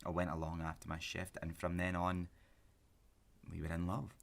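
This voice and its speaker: A young man playing a grieving, intense romantic on a first date in a naturalistic sci-fi audio drama, earnest and halting, with a husky voice. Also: low-pitched, monotone. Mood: wistful, smitten. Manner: earnest, halting, flares into agitation.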